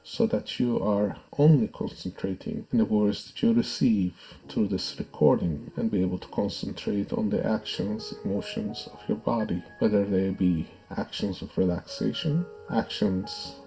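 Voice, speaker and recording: A man narrating a guided meditation in a measured, soothing, instructive way. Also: on the low side, gravelly, warm tone, low-quality recording. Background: background music.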